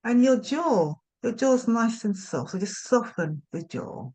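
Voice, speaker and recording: Woman gently guiding a meditation. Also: fairly high pitch, animated. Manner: gentle, nurturing, unhurried invitations. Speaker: yoga nidra meditation guide. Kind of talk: guided meditation instruction.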